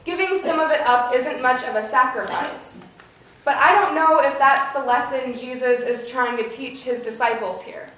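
A woman's voice: high-pitched, fairly bright tone. A woman pastor delivering a prepared sermon in a church. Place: church, indoors, reverberant. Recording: very echoey church sanctuary.

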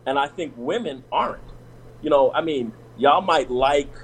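A man's voice: fairly high pitch, moderate pace, slight background noise, low-quality recording. A man on a discussion panel talking casually and telling personal stories, with lots of restarts and "you know what I'm saying.".

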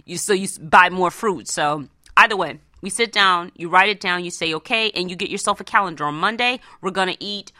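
A woman's voice: on the low side, fairly quick, sharp tone, no room sound, studio quality. A woman hosting a radio show, giving conversational, motivational advice in an emphatic way, repeating phrases to drive points home.

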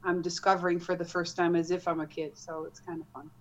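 A woman from the Netherlands with a light Dutch accent, an author chatting in an interview, animated and quick to laugh. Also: fairly low pitch, lo-fi sound. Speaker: author, guest. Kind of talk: casual interview.

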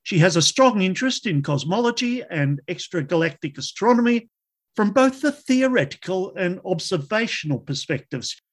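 A man's voice: high-pitched, animated, moderate pace. Upbeat man hosting a science interview. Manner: enthusiastic, upbeat introductions. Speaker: podcast host. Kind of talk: scripted show intro and interview questions.

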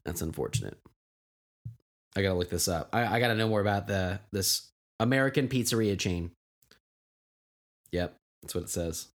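A man's voice: low-pitched, normal speed, long pauses. A man co-hosting a podcast in loose, joking chat, laughing often as he talks. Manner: joking, laughs often, lively rises and falls. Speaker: podcast co-host.

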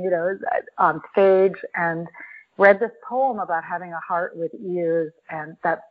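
A woman guest on a podcast telling a personal story in a reflective, halting way, with many restarts. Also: fairly low pitch. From New York. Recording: tinny phone-line audio.

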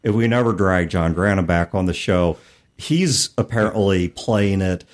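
A man co-hosting a podcast in a casual, explanatory way, animated and expressive as he talks and reads aloud. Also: low-pitched, unhurried. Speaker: podcast co-host. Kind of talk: casual chat about tabletop gaming, reading passages aloud.

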